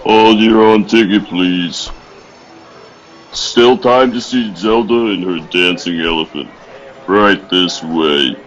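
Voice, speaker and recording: Man as a low, slow circus ticket taker. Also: lo-fi sound, soft background music. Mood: droning. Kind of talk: old-time radio play acting.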